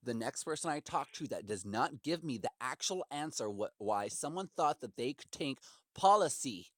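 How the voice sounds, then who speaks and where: A man on a call delivers a rambling, impassioned argument. His pitch moves around a lot as he stresses his points in an aggrieved, preaching manner. The sound is close and dry. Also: animated, normal speed, fairly bright tone, dry recording. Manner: emphatic, aggrieved, preachy, acts out imagined quotes. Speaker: caller to customer service.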